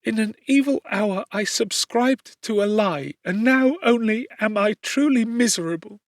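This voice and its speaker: Guy doing a high tearful servant girl from an old audiobook, meek n pleading, really dry close sound. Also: unhurried, no echo. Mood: miserable confessing. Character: anguished, weeping young servant woman, meek and condemned. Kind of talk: dramatic audiobook character reading.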